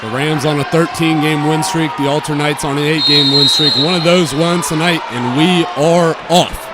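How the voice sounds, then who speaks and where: Man calling basketball over loud crowd noise. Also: a little high, fairly even intonation, normal speed. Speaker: play-by-play announcer. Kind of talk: live basketball play-by-play commentary. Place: indoor school gymnasium. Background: crowd, bouncing basketball.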